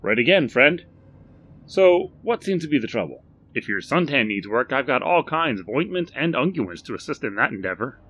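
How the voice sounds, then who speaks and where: A man's voice: medium pitch, very animated, normal speed, a bit bright, breathy edge, voice pushed up. A man voicing an eager, folksy male shopkeeper who is chatty and salesy. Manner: folksy, talkative.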